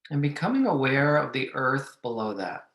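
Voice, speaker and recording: A man leading a guided meditation, giving gentle, measured instructions with long pauses. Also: medium pitch, sing-song, gravelly. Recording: echoey room.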